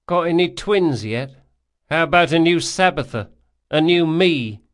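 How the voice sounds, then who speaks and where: A man's voice: fairly high pitch, expressive, a little slow, pitched above the performer's own voice. A male narrator voicing a bitter, sulking, sardonic young rogue in an audiobook story. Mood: bitter sarcasm. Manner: sneering, anguished, outbursts.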